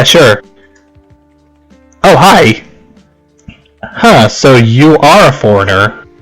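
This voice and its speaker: A man's voice: medium pitch, a bit bright, poor audio. Casual male let's play commentator over music. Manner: rambling, reacting aloud with puzzled questions. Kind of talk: casual gaming commentary, reading game text aloud. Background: game music.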